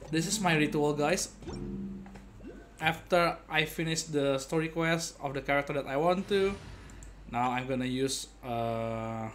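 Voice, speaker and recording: A young adult man giving casual, playful gaming commentary to his viewers like an excitable showman. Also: fairly high pitch, a little hiss or hum. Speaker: game streamer. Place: indoor gaming setup at home. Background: game music.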